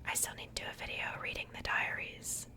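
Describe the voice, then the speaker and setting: A woman speaking in a soft, breathy whisper, chatting casually and unhurriedly in the style of an ASMR unboxing. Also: fast talker. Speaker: ASMR video creator.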